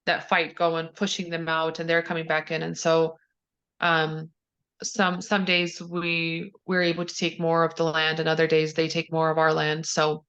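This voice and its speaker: A woman's voice: low voice, monotone, normal speed, fairly bright tone. A woman from Ukraine answering interview questions, hesitant, with many fillers and restarts. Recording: dull lo-fi audio.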